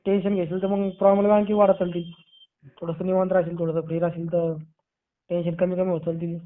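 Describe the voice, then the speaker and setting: A man's voice: sits high, flat delivery, quick pace. A man with a Marathi accent talking casually on a tinny phone call, reassuring and advising, often repeating his phrases. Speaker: friend giving reassurance. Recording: narrow tinny phone line.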